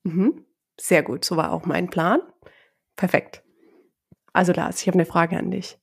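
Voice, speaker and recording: A woman co-hosting a casual podcast while she has a cold, relaxed and friendly, laughing lightly. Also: lowish voice, measured pace, creaky, husky. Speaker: podcast co-host. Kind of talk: casual chat.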